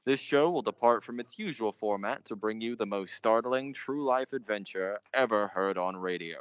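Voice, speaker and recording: An actor playing a pompous, melodramatic 1940s radio mystery host, theatrical and portentous in manner. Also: male voice, fairly low pitch, normal speed, clean tone, no echo, lightly acted. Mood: grandiose. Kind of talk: old-time radio mystery narration. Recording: muffled, noisy amateur stage recording.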